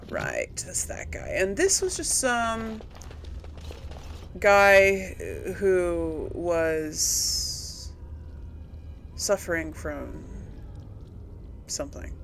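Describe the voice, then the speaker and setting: A woman hosts a casual video game playthrough at a slow, unhurried pace. She talks to her viewers in a chatty way, thinking out loud and questioning herself. Clear background noise and game ambience sit behind her voice. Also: lowish voice, animated. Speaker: video game streamer and series host. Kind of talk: casual let's play commentary.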